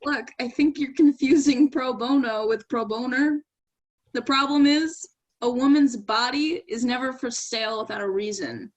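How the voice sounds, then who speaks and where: Woman acting as sardonic female critic, blunt, dry sarcasm, higher-pitched voice, play-reading dialogue. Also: normal speed, poor audio. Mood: blunt, critical. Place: remote virtual staged reading.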